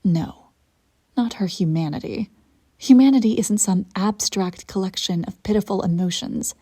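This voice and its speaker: A woman playing a ruthless, self-satisfied immortal evil empress in a scripted roleplay monologue, telling her tale in a haughty, intimate, theatrical way with a soft, husky, breathy voice. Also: mid-range voice, natural voice. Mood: proud, firm. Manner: deliberate dramatic storytelling, haughty and intimate.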